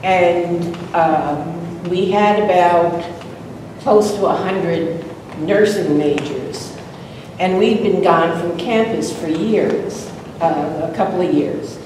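Woman in her eighties, from Long Island, slow storyteller, heavily echoing hall. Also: lowish voice. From Long Island, New York. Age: 80s. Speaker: college alumna, audience speaker. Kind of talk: personal reminiscence to an audience. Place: lecture room with seated audience. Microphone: distant camera microphone. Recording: echoey room, distant mic, clear noise.

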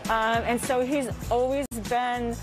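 A woman's voice: fairly high pitch, fairly even intonation, slow. Bakery owner woman over music. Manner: conversational, matter-of-fact. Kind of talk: interview soundbites. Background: music bed.

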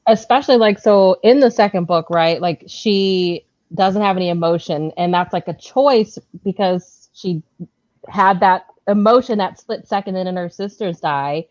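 A woman's voice: medium pitch, normal speed, dry recording. A young adult woman chatting casually and expressively as a panelist in an online book discussion. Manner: informal, expressive. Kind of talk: casual chat. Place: indoor home room on a video call. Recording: slightly dull video-call audio.

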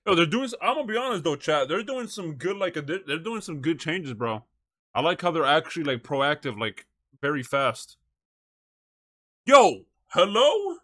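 A male streamer with a fairly high voice, recorded dry and close. He speaks in a casual, slangy way, moving between reading text aloud and addressing his chat. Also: very animated, moderate pace, pushed and bright, dry recording. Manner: slangy, says bro and chat often. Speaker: live streamer reacting to a video and talking to chat. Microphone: close streaming microphone.

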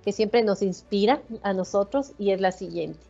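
A middle-aged woman coordinator giving a formal, measured conference presentation over a video call. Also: medium pitch, gravelly, dark tone, faint music behind. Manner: measured, reads points in order. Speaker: national coordinator of hospital classrooms. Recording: dull lo-fi video call audio.

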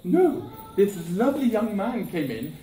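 A man's voice: high-pitched, very animated, measured pace, warm tone. An older man playing a grumbling, gullible cleaning woman in an amateur pantomime, with exaggerated complaining. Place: village hall stage area, far camera. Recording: distant echoey village hall, background noise.

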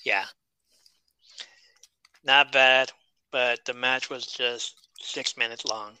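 Male podcast co-host, very bright and smooth voice, dry close mic, chatty and joking. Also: mid-range voice, fairly even intonation, slow, dry recording, low-quality recording. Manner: chatty, reads notes, jokes and laughs. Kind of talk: casual wrestling review chat.

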